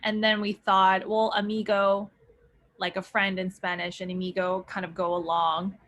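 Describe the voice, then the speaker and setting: A woman's voice: medium pitch, flat delivery, moderate pace, fairly bright tone. Chatty young woman from South Korea. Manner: chatty, many ums and restarts. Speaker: startup founder and CEO, interview guest. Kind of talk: casual interview answers.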